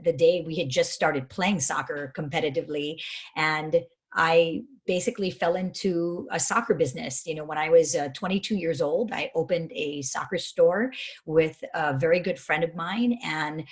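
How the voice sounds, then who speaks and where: A woman in her fifties from Hawaii, a head soccer coach telling stories in a chatty, self-deprecating way with frequent laughs. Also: lowish voice. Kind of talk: casual interview storytelling.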